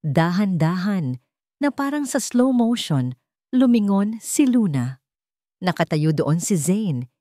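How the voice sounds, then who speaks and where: A woman's voice: on the low side, very animated, takes it slowly, long pauses, warm tone, no echo, clean studio sound. A woman narrating a scripted story in a dramatic style.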